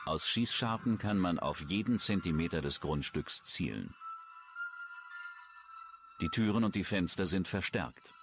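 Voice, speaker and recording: Deep-voiced German male documentary narrator. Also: lively intonation, measured pace, long pauses, over music. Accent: standard German. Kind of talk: scripted true-crime documentary narration.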